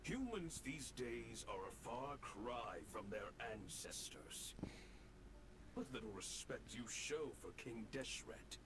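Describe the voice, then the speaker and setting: An actor playing a haughty, grandiose ancient spirit minister in a video game, pompous and scornful. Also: male voice, medium pitch, sing-song, slow, noisy background.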